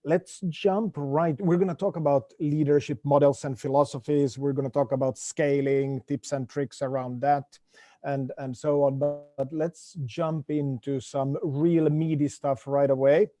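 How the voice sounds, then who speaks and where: A man's voice: fairly high pitch, steady tone, unhurried, mellow. A man moderating a panel on a video call, friendly, often repeating words as he goes.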